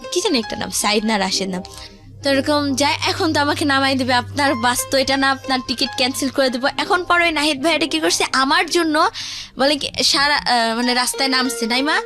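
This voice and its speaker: A woman's voice: sits high, bright, forceful tone. A young woman with a Bangladeshi accent telling a personal story conversationally, rambling and acting out what others said. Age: teens to young adult. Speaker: guest telling their life story. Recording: music behind the voice.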